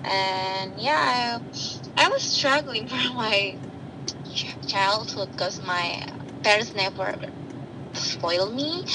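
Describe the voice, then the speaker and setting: Woman, Indonesian accent, 30s, slow halting English, loud traffic behind. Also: medium pitch. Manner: halting non-native English with restarts. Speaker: guest. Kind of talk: casual interview.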